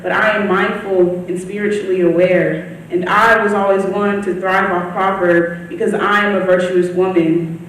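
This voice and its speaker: A teenage girl, a high school student, reciting her own poem at a reading. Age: teens. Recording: very echoey room, distant mic, faint noise.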